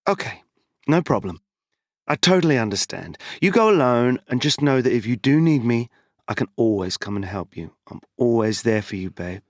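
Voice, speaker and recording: A man acting in an audio drama as a greedy, smooth-talking, philandering husband. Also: medium pitch, expressive, moderate pace, dry recording, studio quality, lightly acted. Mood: soothing.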